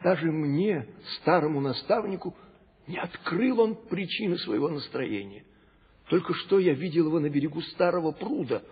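A man's voice: on the high side, expressive, moderate pace, husky, full character voice. An actor playing a devoted old male tutor to a prince, reporting with respectful worry in a classic radio play. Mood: worried, respectful. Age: older. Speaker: court servant in a radio play. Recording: tinny old recording, long reverb, music.

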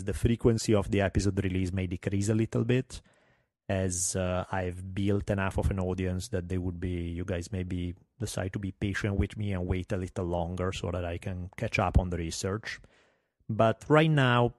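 A man's voice: on the low side, darkish tone, no room sound, studio quality. A middle-aged man with a heavy Italian accent, hosting a solo podcast in a candid, wry, self-deprecating way. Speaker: podcast host.

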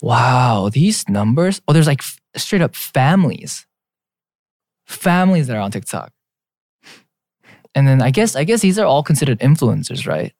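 A man's voice: medium pitch, big pitch swings, moderate pace, gravelly, pauses often, a little mellow, no echo, studio quality. A man in his late twenties co-hosting a podcast, chatting casually and teasing his co-host. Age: young adult. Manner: playful, teasing, reads out lists. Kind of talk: casual chat.

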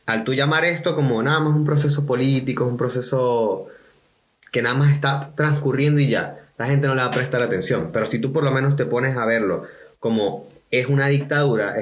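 A man's voice: mid-range voice, a bit bright, a little hiss or hum. A man joins a casual podcast in a mid-range voice. He argues his point directly, pressing hard on the strong words. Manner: direct, emphatic. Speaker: podcast co-host. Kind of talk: casual discussion.

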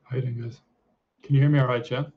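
An Irish-accented man, a coach, chatting casually with a voice hoarse from shouting. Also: mid-range voice, little pitch movement, quick pace, narrow, tinny audio, crowd noise. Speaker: esports coach. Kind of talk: casual chat.

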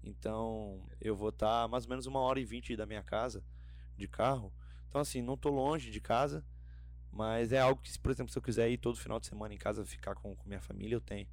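A young man with a Carioca accent chats easily as a podcast guest. His voice sits fairly low. He rambles through fillers and restarts. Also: fast, roomy sound. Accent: Carioca Brazilian Portuguese. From Rio de Janeiro state, Brazil. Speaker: podcast guest, theology graduate. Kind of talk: casual chat.

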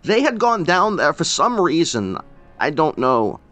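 A man voicing a grieving older rural man who talks in halting, choked bursts and breaks off mid-sentence. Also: fairly high pitch, big pitch swings, sharp tone, faint music behind, pitched above the performer's own voice, full character voice. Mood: grief-stricken.

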